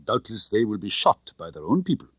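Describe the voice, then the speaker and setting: A male narrator puts on a big, tough spy chief for an audiobook, cheerful and sure of himself. He talks expansively and theatrically, with pitch that swings widely, recorded close and dry. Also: very animated, fairly quick, a bit bright, no echo. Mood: confident, scornful.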